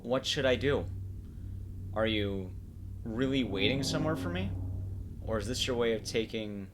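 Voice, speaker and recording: An actor playing a grieving, lost widower in a horror game monologue, subdued, halting and forlorn. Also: male voice, medium pitch, music in the background, natural voice. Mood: lost, yearning. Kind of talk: video game cutscene monologue.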